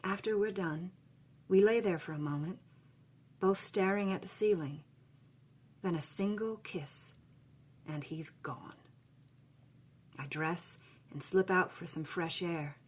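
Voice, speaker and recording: A passionate young film actress played by a woman recounts her private journal in a fairly low voice. She is sensual and dramatic, lingering over her phrases as in an audio story. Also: slow, long pauses, slightly rough. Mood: yearning, passionate. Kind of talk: first-person dramatic journal reading.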